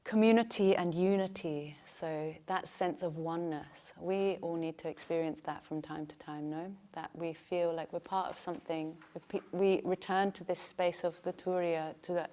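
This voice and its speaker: A woman's voice: on the low side, steady tone, normal speed, hardly any pauses, warm tone. A woman teaching yoga teacher trainees, talking in a chatty way and laughing often. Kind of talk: teaching a class.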